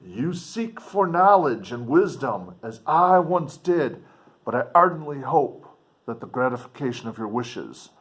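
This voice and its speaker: A man reads the part of a grief-worn, noble stranger in a classic storybook. The voice sits at a medium pitch and moves with solemn deliberation. Each phrase is earnest and pleading, weighted with despair. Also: very animated, a little slow, a bit bright. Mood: solemn warning.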